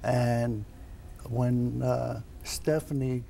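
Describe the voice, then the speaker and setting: An older man recalls the past slowly and haltingly. His voice is mellow and creaky, with faint noise in the studio recording. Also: mid-range voice, flat delivery. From Brooklyn, New York. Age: 70s. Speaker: interview guest. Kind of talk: reminiscing in a TV interview. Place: television studio. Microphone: lapel mic.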